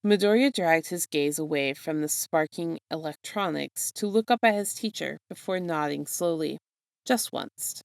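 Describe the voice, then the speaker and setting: A woman narrates a story in a low voice with plenty of creak. Her scripted reading is expressive, and the sound is close and dry. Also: normal speed, no echo. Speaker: narrator reading fan fiction.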